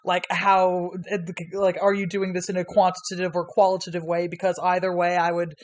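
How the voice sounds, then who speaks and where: A woman's voice: on the low side, completely flat delivery, brisk. A woman guest on a casual podcast, a history lecturer who rambles through stories with constant fillers, frequent laughs and casual swearing.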